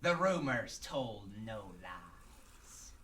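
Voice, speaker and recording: An actor voicing a gleeful, sly pirate crime lord with a stage pirate brogue and theatrical archaic speech, in a radio drama. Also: male voice, fairly low pitch, very animated, very slow, a bit bright, distant mic, a little hiss or hum, heavily acted. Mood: triumphant. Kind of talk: amateur radio drama acting.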